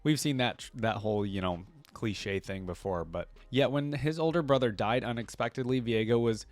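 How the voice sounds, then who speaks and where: A man's voice: medium pitch, moderate pace, dry recording. A man hosting a reaction video in a casual style, reading lore aloud and adding conversational asides of his own. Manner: conversational asides on what he reads.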